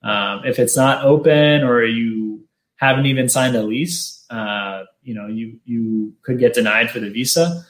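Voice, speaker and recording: A man hosting an informational webinar, explaining steps in a steady, matter-of-fact way. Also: medium pitch, measured pace. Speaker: webinar host, business consultant. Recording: roomy echo.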